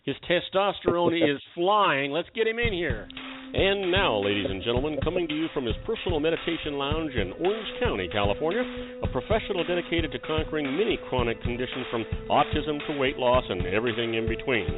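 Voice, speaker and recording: Man, talk radio host, joking banter, slightly high voice, thin phone-like audio. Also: animated, brisk, over music. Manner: jokey, banters with guests. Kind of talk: upbeat talk radio hosting.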